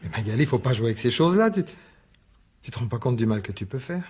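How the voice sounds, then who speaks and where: A man's voice: medium pitch, expressive, quick pace, darkish tone, breathy edge. An actor playing a worried, suspicious father in a French radio drama, insistently questioning and stammering over repeated words. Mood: puzzled. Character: worried, suspicious divorced father, stern with his daughter.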